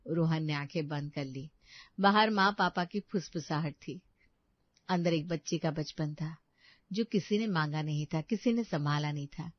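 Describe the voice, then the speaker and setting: A woman narrating a moral bedtime story in a calm, measured, somber way. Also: fairly low pitch, no room sound. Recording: dull dry narration track.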